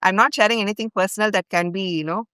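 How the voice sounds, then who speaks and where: A woman's voice: mid-range voice, fairly even intonation, very fast, pushed and bright, clear, resonant tone, no room sound, clean studio sound. A middle-aged woman from India with an Indian English accent, earnestly telling a long personal story as a podcast guest. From India (grew up in Bhopal). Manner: earnest, recounts events in long chains with fillers. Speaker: guest, longtime ashram volunteer.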